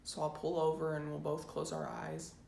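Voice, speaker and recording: A woman's voice: deep voice, completely flat delivery, normal speed, creaky, dark tone. Woman as an intense, bitter teenage daughter, echoey room. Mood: wistful. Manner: intense, resentful, emphatic. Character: bitter eighteen-year-old daughter, determined to leave home. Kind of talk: dramatic stage monologue. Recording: roomy echo, faint background noise.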